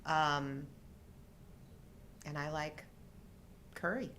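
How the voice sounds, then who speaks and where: A middle-aged woman speaks conversationally as co-host of a live show. Her voice is somewhat low for a woman. A light layer of ambient noise sits behind her. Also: fairly even intonation, very slow, a bit bright. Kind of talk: casual chat.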